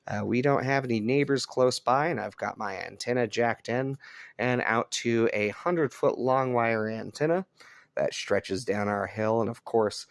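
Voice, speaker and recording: A man's voice: mid-range voice, measured pace, no echo. An American man from Colorado giving casual, laid-back vlog commentary as a radio hobbyist, recorded on a cell phone. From Colorado, United States. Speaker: shortwave radio hobbyist and video host. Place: indoors at a home on a rural property.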